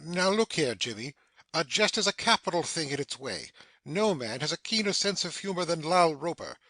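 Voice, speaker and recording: Actor as bright-voiced, pompous blustering man. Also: on the high side, animated, moderate pace, no echo. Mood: indignant. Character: blustering, self-important family friend, middle-aged man. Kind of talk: acted stage satire in audio.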